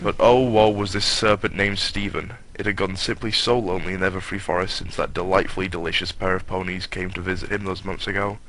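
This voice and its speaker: A man's voice: deep voice, fairly even intonation, brisk, few pauses, a bit bright, slight background noise. A man narrating a comic story as a hammed-up dramatic reading, in a mock-grandiose manner with offhand asides.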